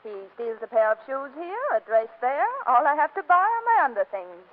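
Sly young wife, 1940s radio comedy; high, swooping, teasing voice on a muffled old broadcast. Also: female voice, big pitch swings, fairly quick. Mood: arch, teasing. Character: spirited young wife, coolly suspicious and sly.

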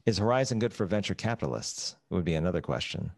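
Very deep-voiced middle-aged man, measured. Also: animated, creaky, a little mellow, no echo, studio quality. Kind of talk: casual interview chat.

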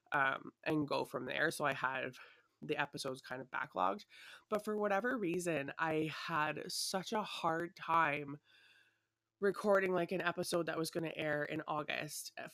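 A woman's voice: deep voice, creaky, a bit bright, no room sound, clean studio sound. A young woman hosting her own podcast, talking in a chatty, rambling way with lots of fillers, still a little sniffly from a cold. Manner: chatty and rambling, many fillers, laughs lightly. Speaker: podcast host. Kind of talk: casual solo podcast chat. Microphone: her own desktop microphone.

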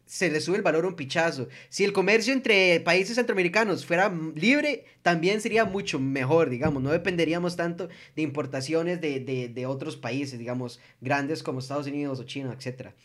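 Slangy young Costa Rican man, high-pitched. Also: fairly quick, hardly any pauses, a bit bright. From Costa Rica. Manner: laid-back and slangy, full of fillers. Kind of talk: casual group chat.

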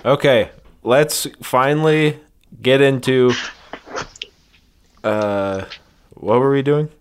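A man's voice: medium pitch, slow pace. A man hosting a comedy podcast in casual, bantering talk. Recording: faint background noise, clicks.

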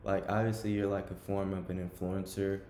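A man's voice: sits low, flat delivery, brisk, mellow. Laid-back man asking questions in an echoey room. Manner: laid-back, short affirmations, "like" fillers. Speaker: host interviewer. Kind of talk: casual interview chat. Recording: echoey room, faint hiss.

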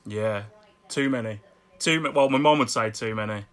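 A middle-aged man with a Birmingham accent, chatting casually as a podcast guest, laughing often and repeating words when excited. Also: mid-range voice, moderate pace. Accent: Birmingham English. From Birmingham, England. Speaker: guest artist.

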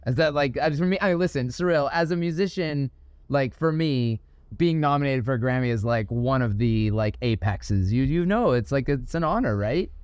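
A man's voice: medium pitch, expressive, moderate pace, darkish tone, smooth, no room sound. A man hosting a podcast interview in an upbeat, chatty way, laughing often and using lots of fillers. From Ohio. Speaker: podcast host. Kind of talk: casual interview.

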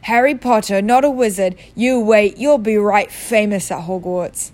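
A woman voicing a huge, warm-hearted bearded male giant with rough, rural speech. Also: medium pitch, unhurried, lightly acted. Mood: jovial.

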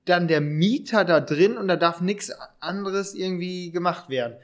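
High-pitched young-sounding male podcast host. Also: normal speed. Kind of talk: casual podcast chat in German.

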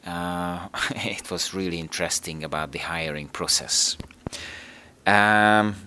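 A man's voice: deep voice, steady tone, slow, bright, forceful tone. A man, an English speaker, answering interview questions hesitantly, with many fillers and restarts. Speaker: interview guest, team manager. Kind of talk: casual interview talk.

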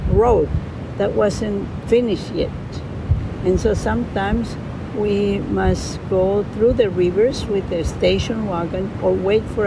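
Slow gravelly older woman, Colombian Spanish accent. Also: mid-range voice, background noise. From Bolivia, raised in Colombia. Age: 70s. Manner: halting, gentle, searching for words. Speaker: yoga teacher, interview guest. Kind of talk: storytelling interview.

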